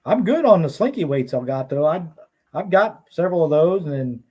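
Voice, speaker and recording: A man's voice: on the high side, lively intonation, fairly quick, slightly rough, highs cut off. A man talking as a guest on a casual fishing podcast, easygoing, laughing now and then and restarting his sentences. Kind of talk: casual chat.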